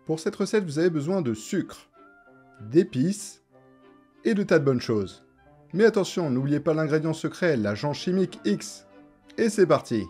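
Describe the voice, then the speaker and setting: A man's voice: a little high, dark tone. A man presenting a scripted comedic video essay in a sarcastic, informal way, as a YouTuber.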